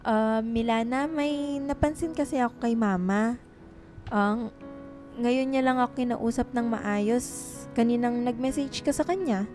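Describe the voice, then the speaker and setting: A woman plays a young writer with a melancholy streak in a radio drama. The voice is soft-toned and mellow, slightly high, over faint background music. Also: unhurried, pauses often, lightly acted. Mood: annoyed.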